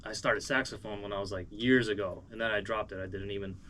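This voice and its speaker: A man's voice: lowish voice, steady tone, quick pace, fairly bright tone, slight background noise. A man with an American accent giving a casual, rambling interview as a musician. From moved as a small child from Uzbekistan, raised in Cleveland, USA.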